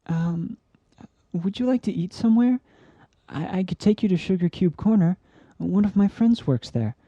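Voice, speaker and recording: A woman voicing a shy, gentle young male pegasus pony who hesitates and stammers in a fan-made audio story. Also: fairly low pitch, expressive, moderate pace, no echo, highs cut off. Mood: shy, nervous. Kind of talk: fan fiction audio drama.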